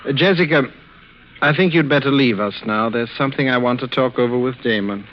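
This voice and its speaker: An actor in 1940s radio style playing a scheming, falsely tender husband who speaks politely, with unctuous, measured control. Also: male voice, medium pitch, lightly acted. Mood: oily tenderness. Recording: muffled old radio recording, faint noise.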